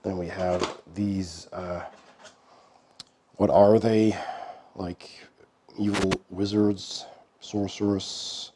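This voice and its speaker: A hoarse man with a cold hosts an unboxing in a casual, unhurried way. He talks in a low-key manner with many pauses. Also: little pitch movement, noisy background. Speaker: board game channel host.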